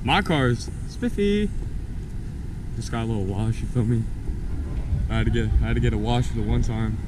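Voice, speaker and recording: Slangy young man vlogging, loud outdoor noise. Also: mid-range voice, normal speed. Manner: slangy, informal. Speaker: car club vlogger. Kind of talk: casual vlog chat. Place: outdoors on residential street and driveway. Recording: noisy outdoor street, vehicle sounds.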